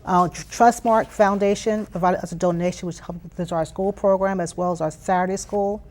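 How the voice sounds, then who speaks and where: A woman's voice: lowish voice, fairly even intonation, brisk, darkish tone. An American woman giving conversational interview answers as a program leader, earnest and emphatic, often repeating phrases for weight. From Philadelphia, United States. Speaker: interview guest, youth STEM program leader.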